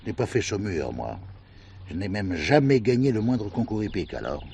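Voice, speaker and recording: An actor playing a gruff, quick-tempered man who runs a western ranch, in a French radio play. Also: lowish voice, sing-song, dark tone, a little hiss or hum. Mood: wistful, wry.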